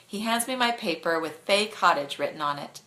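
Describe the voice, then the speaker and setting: A woman's voice: fairly low pitch, animated, normal speed, bright, forceful tone. A woman reading a bedtime story expressively to online viewers, as its narrator.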